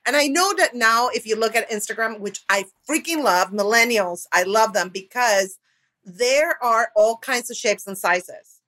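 A middle-aged woman from Argentina, a comedian, chatting casually and candidly as a guest in an animated, jokey, sweary way. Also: on the high side, normal speed.

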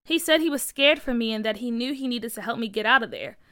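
A woman's voice: a little high, fast talker, a bit bright, no room sound, clean studio sound, lightly acted. A woman voicing an eager, earnest young boy with a plaintive edge in a children's story. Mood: grateful, puzzled. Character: eager young boy, daring but easily shaken. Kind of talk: acted children's story dialogue.

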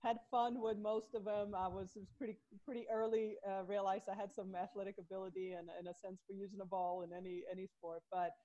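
A woman's voice: mid-range voice, brisk, few pauses, dull audio. A woman from Sweden, in her thirties, talking casually about her soccer career as a podcast guest and laughing easily. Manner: relaxed, laughs often, chatty with fillers. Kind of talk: casual interview chat.

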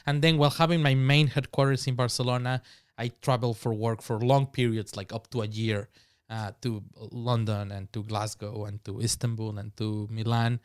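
A man's voice: medium pitch, flat delivery, moderate pace, clean tone. A man with a Mexican Spanish accent, Spanish his first language, chatting casually as a podcast guest, laughing often and swearing.

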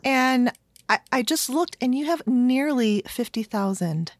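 A woman's voice: high voice, expressive, unhurried, no echo, clean studio sound. A woman hosting a casual podcast, chatty and full of fillers. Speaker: podcast host.

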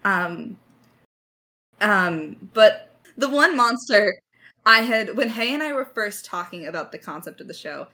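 Animated, bright-voiced woman chatting casually. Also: mid-range voice, measured pace. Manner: animated storytelling with mock-dramatic emphasis. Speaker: lead writer of an audio drama. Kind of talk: casual creator Q&A chat.

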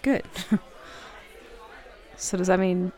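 A woman playing a flustered, smitten, jealous roommate in an intimate roleplay audio, speaking haltingly and nervously. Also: lowish voice, warm tone. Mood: relieved, flirtatious. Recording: bar crowd murmur behind voice.

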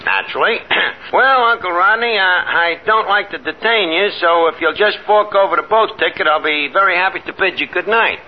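An actor playing a brash, scheming, sarcastic barroom manager in a slangy, ungrammatical 1950s radio comedy style. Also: male voice, high-pitched, normal speed, natural voice. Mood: bluffing. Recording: dull, noisy vintage radio recording.

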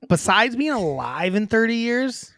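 A woman's voice: fairly high pitch, big pitch swings, unhurried, a bit bright, no room sound, studio quality. A young woman co-hosting a podcast, blunt and crude, teasing her co-host in casual chat.

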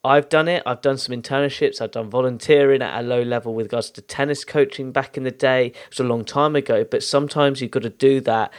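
A man's voice: medium pitch, fairly even intonation, brisk, hardly any pauses. A man hosting a podcast, addressing listeners in an earnest, emphatic, motivational way. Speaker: podcast host.

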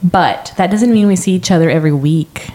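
A woman's voice: fairly low pitch, fairly quick, mellow. A young adult woman co-hosting a podcast in casual chat, talking in a chatty way with lots of "like" and laughter. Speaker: podcast co-host.

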